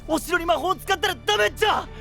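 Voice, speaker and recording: An actor playing an earnest, sweet-hearted boyish knight with a western Japanese dialect, eager and pleading, in an anime voice drama. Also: male voice, very high-pitched, fast talker, creaky, over music. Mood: urgent pleading. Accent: western Japanese regional dialect.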